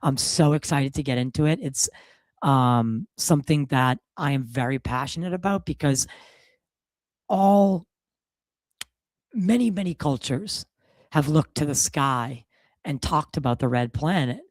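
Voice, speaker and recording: A man with a gravelly, slightly hoarse voice, hosting a podcast in loose, casual, swearing chat full of fillers. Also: a little high, a little slow, pauses often, dry recording. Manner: loose and profane, lots of fillers. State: a bit hoarse from a cold. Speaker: podcast host.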